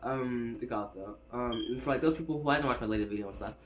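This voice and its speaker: A man's voice: medium pitch, quick pace. Teen male vlogger, stutters, rambles with fillers, phone-recorded bedroom chat, faint hiss. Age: teens. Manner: rambling, many fillers and restarts. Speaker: YouTuber. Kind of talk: casual vlog chat. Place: his bedroom. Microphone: phone set up in room.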